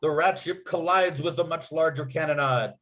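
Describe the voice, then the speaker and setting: A man with a slightly high voice reads screenplay action aloud at a table read. His delivery is vivid and punchy. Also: moderate pace, a bit bright. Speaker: writer narrating a table read.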